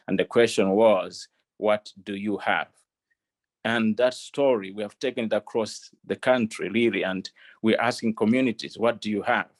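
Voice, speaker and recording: A man from Malawi, a panel guest on a video call, telling a story earnestly with many pauses and restarts. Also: lowish voice. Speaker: panel guest, development partner.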